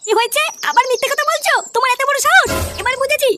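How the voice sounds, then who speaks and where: A woman's voice: very high-pitched, very fast, heavy background noise. A woman voicing a suspicious young housewife in a comedy cartoon, with a nagging domestic manner. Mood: angry, accusing.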